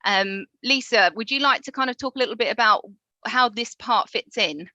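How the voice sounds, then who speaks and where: A woman's voice: a little high, moderate pace, sharp tone, no room sound, highs cut off. A woman hosting a panel discussion in a conversational moderating style.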